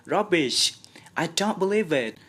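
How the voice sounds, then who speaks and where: Storybook old aunt played by a man: raised pitch, sceptical, scolding, easily alarmed. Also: expressive, a little slow, fairly bright tone, breathy edge, exaggerated voice. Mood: disbelieving.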